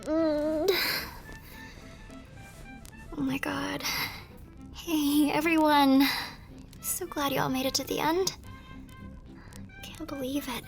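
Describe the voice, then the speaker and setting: A woman playing a tender, wistful young army nurse in an ASMR romance roleplay, with a soft, breathy voice that often drops toward a whisper and an emotive delivery. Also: high voice, fairly even intonation, takes it slowly, pauses often, exaggerated voice. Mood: excited, warm. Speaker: voice actor in a romance audio roleplay. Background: music.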